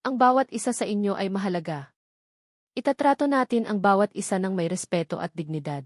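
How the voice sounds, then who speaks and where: A woman's voice: medium pitch, lively intonation, fairly quick, dry recording, lightly acted. Woman voicing an earnest young heiress heroine, dry sound. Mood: earnest, resolute.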